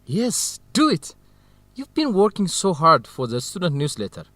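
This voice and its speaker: A man's voice: a little high, wildly swooping pitch, normal speed, fairly bright tone, no echo. A man acting a friendly, inquisitive young man in a radio drama, with an encouraging way of talking.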